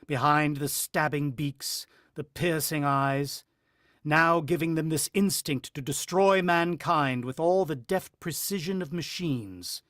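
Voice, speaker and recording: Male actor playing haunted husband under siege, higher-set male voice, grave, clipped, intense, vintage radio drama. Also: slow, a bit bright, no room sound, studio quality. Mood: grim, haunted. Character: desperate, haunted husband narrating a bird-attack siege. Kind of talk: dramatic radio play narration and dialogue.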